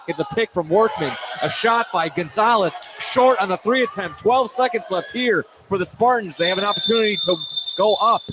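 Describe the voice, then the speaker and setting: Higher-voiced man calling basketball over gym noise. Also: brisk. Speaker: play-by-play commentator. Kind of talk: live high school basketball commentary. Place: indoor school field house. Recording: noisy live gym broadcast. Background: loud crowd and gym noise.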